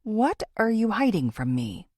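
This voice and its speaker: A woman's voice: medium pitch, sing-song, a little slow, darkish tone, dry recording, studio quality, pitched above the performer's own voice. A woman voicing a suspicious, hurt woman who confronts her best friend with pointed demands in an audiobook. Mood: demanding.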